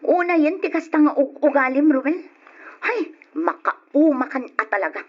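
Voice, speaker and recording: A woman's voice: sits high, lively intonation, normal speed. A woman playing a long-suffering working wife and mother in an Ilocano radio drama, scolding and exasperated with reproach. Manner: reproachful, nagging. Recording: muffled lo-fi old radio recording.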